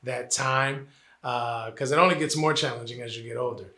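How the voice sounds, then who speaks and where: A man in his forties from New Jersey answers interview questions at length, earnest and a little meandering, often pausing on "uh". His voice is bright and forward. The room gives it a clear echo. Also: medium pitch, fairly even intonation. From New Jersey, Puerto Rican family. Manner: earnest, lots of "uh" and "you know", repeats phrases. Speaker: interviewee, college administrator.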